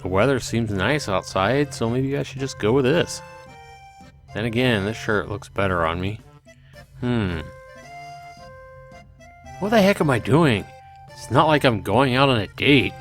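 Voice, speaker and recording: A man's voice: mid-range voice, lively intonation, measured pace, long pauses, a bit bright, faint music behind. A man voicing a reluctant, sarcastic and vain young man narrating his life in a visual novel, grumbling and exasperated. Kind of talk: visual novel character reading.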